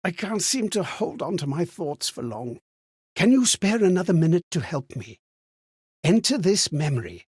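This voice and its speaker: An actor playing a frail, forgetful old man in a video game, anxious and kindly, with a hesitant, plaintive delivery and a husky, breathy voice. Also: sits high, very animated, normal speed, a little mellow, dry recording, clean studio sound, full character voice. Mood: troubled, grave. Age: older. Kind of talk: theatrical video game character acting.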